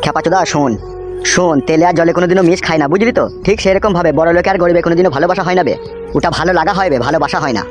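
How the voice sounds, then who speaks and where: A man's voice: a little high, quick pace, soft background music, natural voice. A man voicing a blunt, foul-mouthed, world-weary young henchman in an amateur comedy cartoon. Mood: scolding, blunt. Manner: blunt, swearing, rural colloquial talk.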